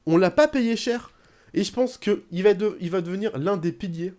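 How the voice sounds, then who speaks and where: A young man commenting casually on his football video game career, rambling, with bursts of excitement. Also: sits high, normal speed. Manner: chatty, rambling, bursts into excited shouting at goals. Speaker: gaming YouTuber.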